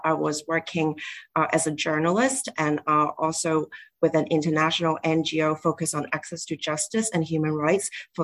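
Woman panelist, kinda low voice, lots of uhs as she talks about her work. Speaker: panelist, lawyer. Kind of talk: spoken panel introduction.

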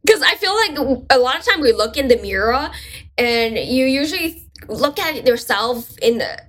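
A woman's voice: sits high, moderate pace. A woman whose first language is Mandarin, a guest talking casually in an interview with many fillers and restarts. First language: Mandarin Chinese. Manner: relaxed, chatty, with fillers and restarts.

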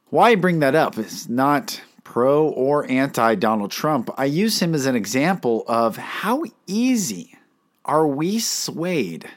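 A man hosting a solo talk episode, earnest and emphatic, asking his listeners questions; he cannot roll his R's. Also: fairly high pitch. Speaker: podcast host and life coach.

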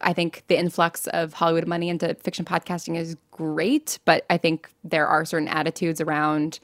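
American woman, slightly low voice, dry close sound, chatty interview style, informal, self-interrupting. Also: completely flat delivery, moderate pace, no echo. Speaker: interview guest, fiction podcast writer and producer. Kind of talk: candid conversational interview.

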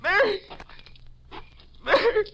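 A frightened male trucker in an old radio thriller. The voice is extremely high and drawn out, swinging wildly as he pleads, with loud noise over the recording. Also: lively intonation, takes it slowly, creaky, heavily acted. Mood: panicked, pleading. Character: frightened trucking companion who pleads and screams. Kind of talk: 1950s radio drama acting.

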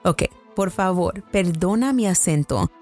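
A woman's voice: on the low side, animated, a little slow, a little mellow, soft background music. A woman with an American English accent hosting a true crime podcast, reading her script in Spanish in a careful, earnest way. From United States. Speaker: podcast host.

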